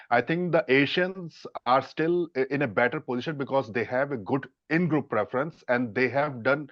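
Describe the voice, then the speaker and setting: A man's voice: mid-range voice, no echo. Guy on a panel, stops and restarts a lot, uh uh, sounds a bit dull and dry. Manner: halting, many fillers and restarts. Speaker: dating coach, panelist. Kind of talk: casual panel discussion.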